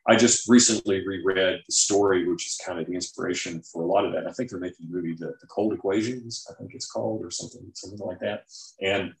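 A man with a fairly low voice talks in a roomy, echoing recording. He explains ideas informally, like an interview guest who knows science and reaches for everyday examples. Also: steady tone, fast talker.

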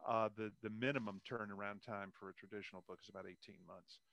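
A man's voice: lowish voice, steady tone, fast, fairly bright tone, dry recording. An older man, a guest author chatting casually about writing in a rambling way, with self-deprecating humour.

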